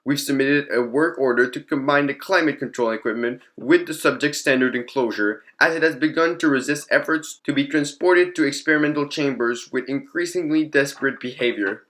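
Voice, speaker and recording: A man acts out a detached researcher recording a scripted audio log. The voice sits on the high side, and the delivery is formal and matter-of-fact, like clinical notes dictated for an experiment journal. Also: normal speed, fairly bright tone, lightly acted.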